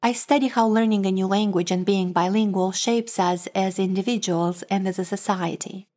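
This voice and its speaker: Woman, Moldovan Romanian accent, measured delivery, mid-pitched voice, scripted book talk. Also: fairly even intonation, a little mellow. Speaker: author and professor.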